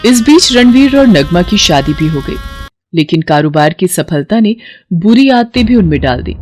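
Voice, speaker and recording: A woman's voice: on the low side, a little mellow, no room sound, clean studio sound. A woman narrator telling a scripted story in a dramatic storytelling style.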